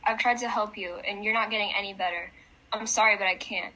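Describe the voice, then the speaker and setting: A woman's voice: mid-range voice, steady tone, fast talker, sharp tone, poor audio. A woman playing an exasperated, grieving wife at breaking point in a radio drama, firm and reproachful.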